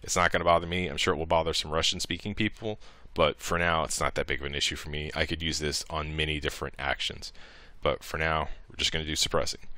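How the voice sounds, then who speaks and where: Deep-voiced man narrating a casual tutorial. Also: fairly even intonation, fast talker, hardly any pauses, bright, forceful tone, no room sound. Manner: informal, thinking aloud as he works.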